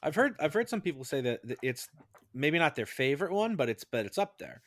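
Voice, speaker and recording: A man's voice: a little high, big pitch swings, brisk, fairly bright tone, dry recording. A man hosting a podcast in casual chat, with a teasing, interjecting manner. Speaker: podcast host.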